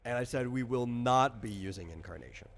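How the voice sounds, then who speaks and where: A man's voice: mid-range voice, expressive, a little fast, creaky, slight background noise. A man working as a game master, explaining rules in casual conversation in a patient, rambling, step-by-step way. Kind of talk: casual explanatory chat during character creation.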